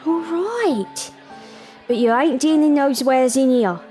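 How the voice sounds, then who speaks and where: A weary barmaid with a working-class English accent. Her voice sits fairly high and she speaks slowly. She is wry, guarded and no-nonsense in this audio drama. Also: lively intonation, darkish tone, soft background music. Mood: dry, teasing. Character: weary, sharp-tongued young barmaid among criminals.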